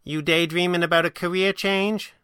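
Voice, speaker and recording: Pulp storybook heroine, played by a male reader: young woman, punchy bright tone, teasing wisecracks. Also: high voice, steady tone, normal speed, smooth, no echo, clean studio sound, voice pushed up. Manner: slangy, wisecracking.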